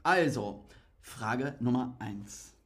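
Man, 30s, from England, slow and easygoing, giggly quiz sidekick. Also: mid-range voice, animated. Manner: unhurried, giggly, hesitant. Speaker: streamer and quiz co-host. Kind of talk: casual chat.

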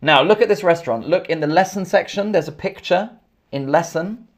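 A man from England with an English accent, teaching a live online English lesson, slowly repeating each question and thanking viewers by name. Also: fairly high pitch. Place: indoors, in Scotland.

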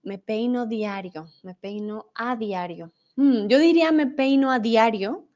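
A woman's voice: on the high side, a little slow, dark tone, muffled recording. A young Colombian woman from Bogotá with a Bogotá accent, teaching a live-streamed Spanish lesson, explaining patiently and reading viewer comments aloud.

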